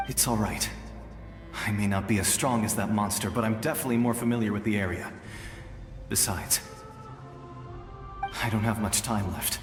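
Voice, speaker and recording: A man voicing a calm, selfless guardian in a video game, kindly and resigned. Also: fairly low pitch, moderate pace, long pauses, natural voice. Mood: calm farewell. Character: gentle, selfless doomed male guardian spirit. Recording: long echoing reverb under music.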